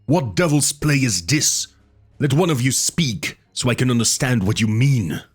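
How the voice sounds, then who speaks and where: A man's voice: medium pitch, sing-song, normal speed, breathy edge, faint music behind, voice pushed up. A man reading aloud and voicing a blunt, fierce barbarian warrior who roars and bellows at a crowd. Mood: roaring exasperated. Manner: roaring, bellowing commands.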